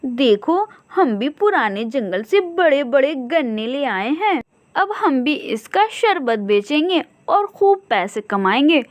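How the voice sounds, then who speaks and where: A woman's voice: high voice, very animated, slow pace, dry recording, full character voice. A woman voicing a boastful, nagging female crow, a quarrelsome cartoon wife who complains a lot. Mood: boastful, threatening.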